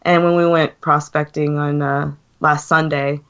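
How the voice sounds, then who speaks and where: An American woman with a cold, chatting casually on a radio show, speaking with fillers and soft laughs. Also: sits low, level tone, unhurried, smooth. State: sick with a cold, deeper voice. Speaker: guest co-host. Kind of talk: casual chat. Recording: lo-fi online radio call.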